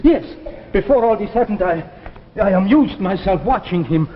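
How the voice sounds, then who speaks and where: An actor playing a weary, solemn elderly scientist of a doomed future in a 1950s science fiction drama, speaking with theatrical deliberation. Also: male voice, sits high, animated, moderate pace, slight background noise. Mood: musing, hopeful. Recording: muffled vintage 1950s broadcast, reverberant, music behind.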